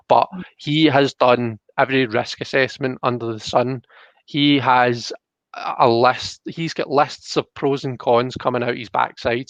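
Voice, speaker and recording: A man hosting a live card reading, talking casually and explaining as he thinks aloud, with halting phrasing. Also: medium pitch, fairly bright tone, dry recording, muffled recording. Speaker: host of a live tarot reading.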